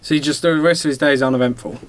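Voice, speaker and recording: A man's voice: mid-range voice, fairly even intonation, racing. A man co-hosting a podcast, chatting casually in a playful, contrary way. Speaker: podcast co-host. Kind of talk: casual bantering chat, brainstorming a comedy script. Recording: noisy amateur podcast recording with clicks.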